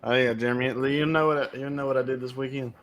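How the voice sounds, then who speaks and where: A young man co-hosting a casual podcast from home, talking in a loud voice that carries and telling stories in a chatty way. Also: mid-range voice, steady tone, fast talker, dull audio. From United States. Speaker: podcast co-host. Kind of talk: casual chat. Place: home, remote recording. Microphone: home podcast mic.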